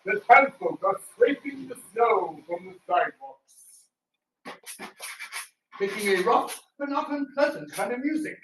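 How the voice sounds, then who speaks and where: A man's voice: sits high, expressive, a little slow, long pauses, fairly bright tone. A man playing a pompous, jovial Victorian English stage actor, hammy and emphatic, in a live radio-theatre show. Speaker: co-host, playing a celebrated actor. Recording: roomy hall, distant mic, faint noise.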